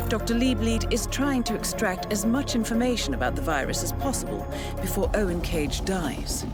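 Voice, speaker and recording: Composed, authoritative spy handler. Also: female voice, fairly low pitch. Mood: grave, resolute. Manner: formal, measured, articulate. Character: composed, authoritative female intelligence handler briefing an assassin. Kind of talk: scripted video game mission briefing. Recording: background music under the voice.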